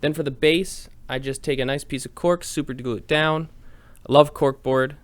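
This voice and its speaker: A man's voice: on the high side, fairly even intonation, moderate pace. A man narrating a step-by-step tutorial in an informal, chatty, explanatory way. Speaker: host of a miniature painting tutorial.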